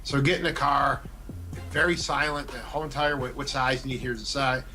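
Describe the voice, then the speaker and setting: Radio guy telling a wild story, cussing, bright voice, faint music behind. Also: mid-range voice, fairly quick, low-quality recording. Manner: animated, profane anecdote telling. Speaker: radio personality guest. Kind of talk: casual storytelling chat.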